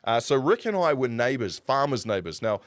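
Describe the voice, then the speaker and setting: A man's voice: medium pitch, big pitch swings, normal speed, no echo. A man in his forties with a New Zealand accent, telling stories in a casual, rambling, conversational way on a live stream. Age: 40s. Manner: rambling, conversational, self-correcting. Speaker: streamer and broadcaster.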